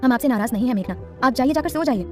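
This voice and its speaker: A woman voicing a shy, timid young wife who speaks softly and hesitantly. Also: high voice, lively intonation, fast, music in the background. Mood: firm. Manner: soft, hesitant, pleading.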